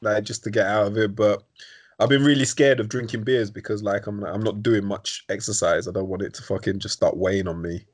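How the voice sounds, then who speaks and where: A man, hosting a podcast in quick, laughing, chummy conversation. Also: fairly low pitch, steady tone. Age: 30s. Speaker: podcast host. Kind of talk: casual chat.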